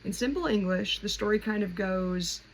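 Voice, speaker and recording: Creaky-voiced woman explaining casually, noisy recording. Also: medium pitch, moderate pace. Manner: informal, conversational, thinking aloud. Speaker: host of a poetry explainer. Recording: clearly noisy home recording.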